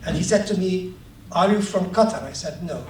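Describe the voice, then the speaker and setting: A man from Palestine giving a talk about his book, reflective and hesitant with many ums, growing animated as he tells anecdotes. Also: high-pitched, normal speed. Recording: echoey room, distant mic, faint noise.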